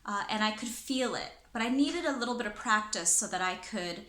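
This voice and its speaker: Woman, presenter, chatty with fillers, crisp bright tone, roomy echo. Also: fairly high pitch, lively intonation, fairly quick. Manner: chatty with many fillers and restarts. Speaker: presenter and trainer. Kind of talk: casual talking-head advice.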